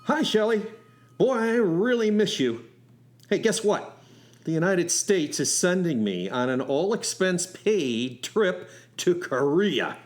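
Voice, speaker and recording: A man's voice: high-pitched, big pitch swings, slow, full character voice. A man acting as a young driving instructor and soldier, telling his love story in a wry, folksy way for a radio play. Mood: eager. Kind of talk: first-person radio storytelling with acted dialogue.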